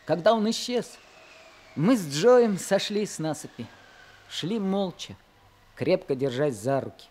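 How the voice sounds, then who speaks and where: An earnest teenage boy tells his own story in an old radio play. His voice sits fairly high, and his narration is measured and sincere. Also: animated, darkish tone. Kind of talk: scripted narration in radio drama.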